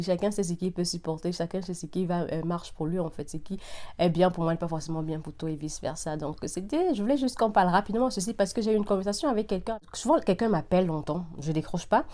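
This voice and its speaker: A woman hosting a casual vlog, talking straight to her viewers in an animated, insistent way with repeated phrases and bits of English slang. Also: lowish voice. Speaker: vlog host giving opinions to viewers. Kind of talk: casual direct-to-viewer chat.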